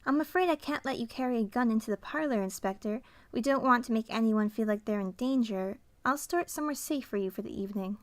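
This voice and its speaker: Woman acting a high-voiced, proper young maid. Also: fast talker, hardly any pauses, natural voice. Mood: polite. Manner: courteous, formal. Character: polite, composed young maid of an eerie manor. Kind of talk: radio drama acting.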